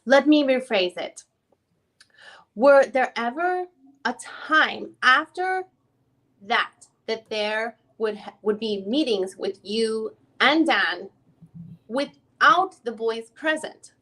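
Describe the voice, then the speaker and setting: A woman's voice: fairly high pitch, expressive, takes it slowly, a bit bright, full character voice. A woman playing an informal, probing attorney who questions a witness in a reading of a deposition, often rephrasing as she goes. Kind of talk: dramatic reading of a legal deposition.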